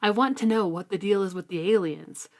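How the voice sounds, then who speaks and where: Female co-host, mid-range voice, for informal book talk; frank opinions softened with apologies. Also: mid-range voice, fairly quick. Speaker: co-host of a book discussion podcast; middle school writing teacher. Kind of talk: casual book discussion.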